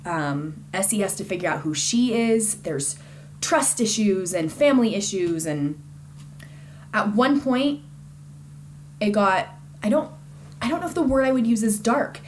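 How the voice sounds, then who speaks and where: A woman's voice: medium pitch, animated, unhurried, long pauses, slight background noise. A woman giving a casual, conversational book review in an informal and enthusiastic way.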